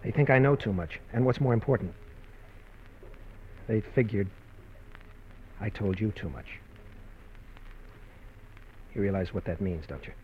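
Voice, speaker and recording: A man's voice: lowish voice, a little slow, long pauses, close to an everyday voice. Anxious husband in vintage radio drama, male actor. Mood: grave, tender. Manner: nervous, earnest, urgent. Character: anxious, cornered husband with a criminal past. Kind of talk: 1940s radio drama acting. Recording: muffled, noisy old radio recording.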